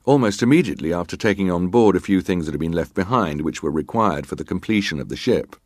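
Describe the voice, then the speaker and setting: A very low-pitched naval officer gives evidence in a historical drama. He is terse and guarded, answering with clipped formality. The sound is dry and close, free of room echo. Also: expressive, fast, darkish tone. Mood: precise, formal. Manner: clipped, formal, matter-of-fact. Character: British ship's officer testifying, terse, guarded, formally respectful. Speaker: actor reading a witness's testimony. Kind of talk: dramatised reading of hearing testimony.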